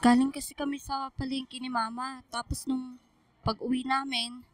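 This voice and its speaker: An actress with a Filipino accent playing an anxious, loving young daughter in a radio drama, earnest and pleading. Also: female voice, high-pitched, level tone, normal speed, dry recording, close to an everyday voice. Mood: worried, subdued.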